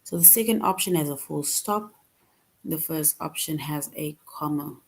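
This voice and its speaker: A woman teaching a children's grammar lesson online, reading out answer choices slowly and patiently. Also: deep voice, creaky, husky, rough recording. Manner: slow, patient, reads answer options aloud.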